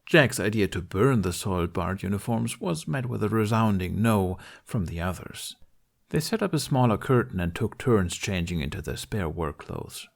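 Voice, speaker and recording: Guy with a lowish voice reading a fan fiction story out loud, storybook style. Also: animated, normal speed, gravelly. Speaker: amateur podfic reader.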